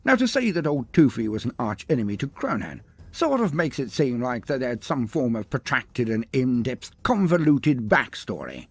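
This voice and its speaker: A man's voice: medium pitch, big pitch swings, normal speed, hardly any pauses, husky. A man narrating a humorous scripted story in a theatrical, wordy, mock-grandiose manner. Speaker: narrator of a lore story video.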